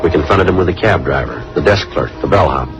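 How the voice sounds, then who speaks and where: Fast, low-voiced deadpan police detective. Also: male voice. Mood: matter-of-fact. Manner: terse, deadpan. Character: dry, skeptical male police detective, narrating and interrogating. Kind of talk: 1950s radio police drama acting, clipped scripted narration. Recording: dull old radio recording with music.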